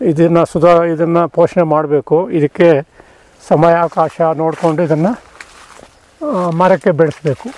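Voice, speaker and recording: Older man, slow halting farm talk. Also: a little high, fairly even intonation, darkish tone. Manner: slow, halting, with many restarts and pauses. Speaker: farmer showing his orchard. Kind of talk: casual farm walk-through. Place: outdoors on a dry rural farm among trees.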